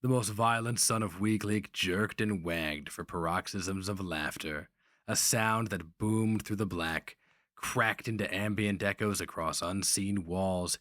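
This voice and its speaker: A man's voice: low-pitched, measured pace, fairly bright tone, no echo, studio quality. A man giving a grave, theatrical reading of literary fantasy as the story's narrator.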